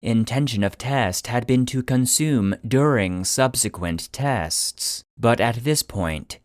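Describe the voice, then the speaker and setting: A man giving scripted narration in a measured, clinical manner, like a report being read out. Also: mid-range voice, no room sound, clean studio sound. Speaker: narrator and producer.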